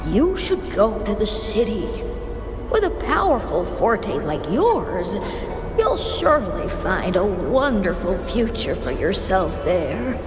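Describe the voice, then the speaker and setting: A motherly village woman in a fantasy game. She is kind and encouraging, with unhurried, very expressive speech. It echoes, with music behind. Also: mid-range voice. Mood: tender, encouraging. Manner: tender, encouraging. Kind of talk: video game voice acting. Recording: echoing hall reverb, music behind.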